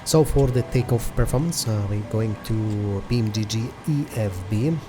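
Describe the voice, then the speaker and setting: A man's voice: lowish voice, moderate pace, music in the background. A man hosting a flight simulator video, giving methodical step-by-step commentary in a hesitant delivery.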